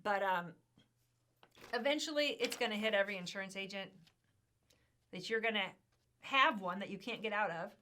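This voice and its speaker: A woman co-hosting an insurance news show, talking in a chatty, emphatic way with a slightly hoarse voice. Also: medium pitch, normal speed, pauses often, bright, forceful tone. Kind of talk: casual chat.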